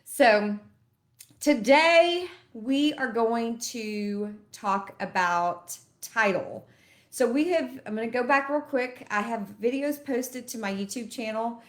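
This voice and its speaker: A woman's voice: a little high, slow pace. Chatty woman, sniffly from allergies. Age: middle-aged. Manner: chatty and conversational, explains step by step. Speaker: loan officer. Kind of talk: casual educational talk to camera.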